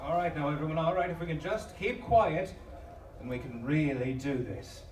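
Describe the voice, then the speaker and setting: Man acting as gentle, reassuring stowaway leader. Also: on the high side, moderate pace, a little hiss or hum, close to an everyday voice. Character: kind, reassuring man caring for fellow stowaways. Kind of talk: live audio drama acting. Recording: echoey live stage from far off.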